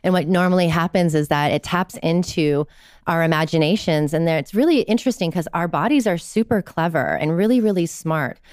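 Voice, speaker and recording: Woman therapist on a podcast, low smooth voice, dry close sound. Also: a little fast, no echo. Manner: animated storyteller, acts out quotes. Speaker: guest, somatic therapist. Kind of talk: conversational interview.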